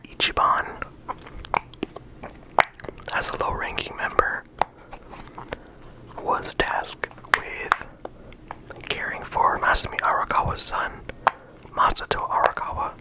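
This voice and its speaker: Soft unvoiced ASMR whisper. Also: poor audio. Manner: soft, unhurried, stops and restarts often. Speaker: ASMR video creator. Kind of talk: ASMR whispered character discussion.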